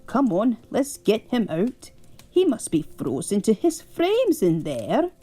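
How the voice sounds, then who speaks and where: An eager, determined engine chap, voiced by a woman reading a storybook, speaks a little higher than the narration, urging the others on. Slow, husky English delivery. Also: faint music behind, heavily acted. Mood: urgent. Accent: put-on English. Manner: urgent, excited exclaiming. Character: anxious, eager male engine, brisk and determined.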